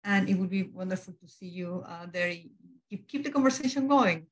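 A woman's voice: mid-range voice, animated, fairly quick. A woman hosting a webinar, friendly as she thanks people and wraps up. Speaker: webinar host.

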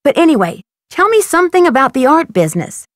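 An actress with an American accent playing a curious, polite, quick-witted young amateur detective in a video game, articulate and inquisitive. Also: female voice, high-pitched, big pitch swings, no room sound, studio quality. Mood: curious, friendly.

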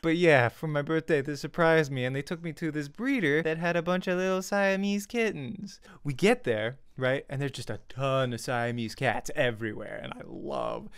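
A young man chatting casually as a vlogger, telling a personal story in an animated way and acting out reactions, close on a desk mic at home. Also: a little high, normal speed, few pauses, smooth. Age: young adult. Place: small home room. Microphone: desk studio mic with pop filter, close.

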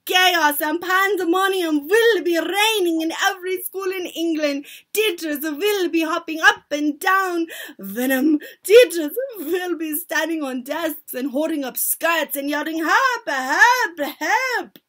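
A woman's voice: on the high side, lively intonation, slow pace, pitched below the performer's own voice. A woman voicing a commanding, gloating old witch ringleader with broken, foreign-style grammar in a storybook reading. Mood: triumphant, shouting. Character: gloating old Grand High Witch, ringleader plotting against children.

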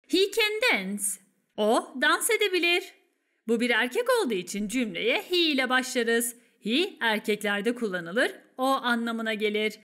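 A woman's voice: high voice, sharp tone. A Turkish woman narrating a scripted English lesson as a teacher, with careful, instructive enunciation.

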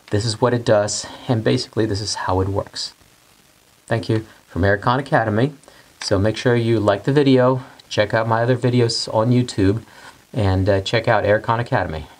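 Man teaching at a whiteboard, slightly low voice. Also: normal speed, poor audio. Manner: explains step by step, repeats key points while drawing. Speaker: HVAC instructor.

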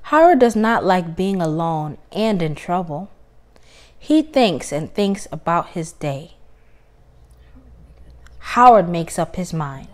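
A woman reading a children's story aloud with expressive, deliberate, emphatic phrasing. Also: lowish voice.

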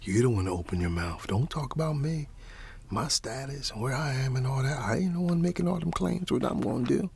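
A man performing an ASMR roleplay as a laid-back, teasing flatmate, speaking in a hushed, casual, slangy way with repeated phrases. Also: mid-range voice, a little fast, creaky, slight background noise. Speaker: ASMR performer playing a roommate. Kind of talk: ASMR roleplay, whispered storytelling and one-sided chat.

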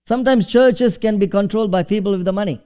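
A man's voice: high voice, quick pace, dark tone, smooth. A middle-aged man from Singapore, preaching a sermon from a church stage and asking the congregation questions. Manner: conversational preaching, asks the audience questions. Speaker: pastor.

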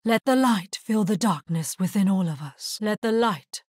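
An actress voicing a solemn, grave necromancer in a video game, with measured, formal delivery. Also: female voice, mid-range voice, a little mellow, slightly rough, no room sound, studio quality, natural voice. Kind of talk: scripted video game character voice lines.

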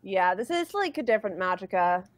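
A woman's voice: medium pitch, very animated, brisk, dry recording. A woman voice actor chatting casually on a livestream. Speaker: amateur voice actor in a cast.

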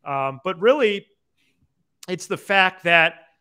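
A man's voice: sits high, lively intonation, takes it slowly, pushed and bright, smooth. A young man hosting a sports podcast, explaining baseball casually, point by point, into a close desk microphone. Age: young adult. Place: small indoor room, video call.

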